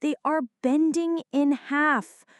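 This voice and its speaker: A woman's voice: sits high, steady tone, slow, no room sound. A woman acting a jumpy, flirty, dramatic friend in an ASMR roleplay, talking to the listener in a teasing, mock-indignant way. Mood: defensive, uneasy.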